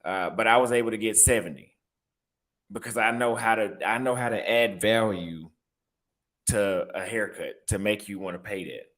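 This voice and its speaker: A young man with a Texas accent, chatting casually in a vlog and reacting aloud as he browses apartment listings, repeating his phrases. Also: mid-range voice. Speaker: vlogger and barber.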